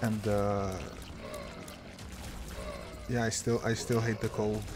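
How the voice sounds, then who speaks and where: Slow-talking man, rambling. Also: fairly low pitch, little pitch movement. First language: French. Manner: rambling, self-deprecating, frequent restarts. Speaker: gaming channel host. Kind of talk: casual gameplay commentary. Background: game music.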